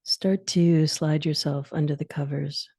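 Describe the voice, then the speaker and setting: A woman guides a sleep meditation in a low, mellow voice with a gravelly creak. She gives soothing instructions in short phrases, leaving long pauses, and her phrase endings turn soft and breathy. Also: steady tone, dry recording, studio quality.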